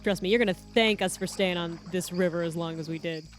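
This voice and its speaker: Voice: mid-range voice, brisk, faint music behind. A tabletop game player speaking in character, confident and persuasive.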